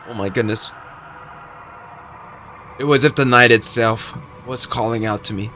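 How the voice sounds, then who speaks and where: A man's voice: lowish voice, fairly bright tone. A young man playing a horror visual novel, reading the narration aloud with suspenseful emphasis. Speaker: gaming video creator.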